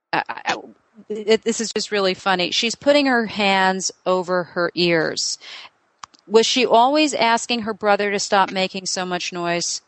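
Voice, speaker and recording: A woman's voice: mid-range voice, a bit bright, no echo, low-quality recording. A woman working as a psychic medium, thinking aloud with lots of fillers and firing off questions to a client during a reading. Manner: asks many quick questions in a row. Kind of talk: live reading session with a client.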